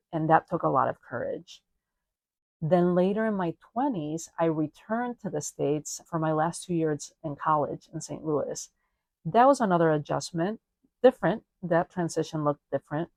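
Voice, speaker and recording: A woman's voice: low voice, moderate pace, a little mellow, no room sound, poor audio. A woman from Spain, probably in her forties, hosting a solo podcast and talking in a reflective, chatty way. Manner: reflective, chatty, frequent "you know" and "like". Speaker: podcast host. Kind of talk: personal solo podcast monologue.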